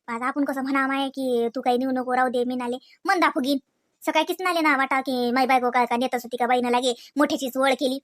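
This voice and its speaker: Casting: an actor as a fussy, chatty cartoon village woman, rural Varhadi Marathi accent, rapid bright delivery, nagging colloquial manner. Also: high-pitched. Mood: hesitant, murmuring. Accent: rural Varhadi Marathi, Vidarbha. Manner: colloquial, nagging, repeats questioning tags. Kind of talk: comedy cartoon dialogue in rural household chat.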